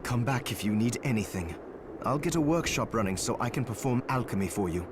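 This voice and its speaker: A man's voice: medium pitch, expressive, a little fast, background noise, lightly acted. A man playing an earnest, caring alchemist in a video game. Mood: concerned. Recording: music behind the voice.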